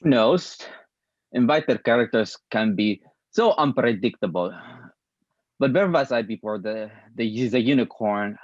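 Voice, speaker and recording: A man reading the part of a calm male acting teacher at a play table read, speaking in a deliberate, lecturing way. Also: mid-range voice, dry recording, muffled recording.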